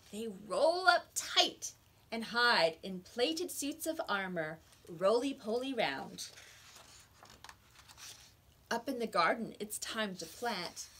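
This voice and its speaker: A woman reading picture books aloud to young children like a teacher, with expressive emphasis on the words. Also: a little high, husky.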